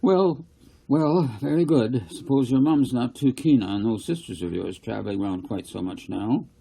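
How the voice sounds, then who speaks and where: Man voicing a gravelly, folksy country farmer. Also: medium pitch, normal speed, warm tone, poor audio. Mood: kindly. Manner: folksy dialect, musing. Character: plain-spoken rural farmer, older man, folksy and curious.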